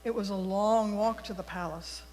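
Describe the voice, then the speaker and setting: A woman reading a story aloud to a congregation in a deliberate, expressive storybook manner. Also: medium pitch. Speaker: worship leader telling a story for all ages. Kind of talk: read-aloud storytelling in a church service. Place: large indoor congregation space. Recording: echoey hall with mains hum.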